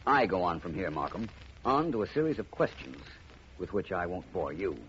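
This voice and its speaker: An actor playing a shrewd, confident gentleman detective in a vintage radio mystery, crisp and commanding. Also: low-pitched, big pitch swings, moderate pace. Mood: assured, explaining. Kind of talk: 1950s radio drama acting. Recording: muffled vintage radio recording, faint noise.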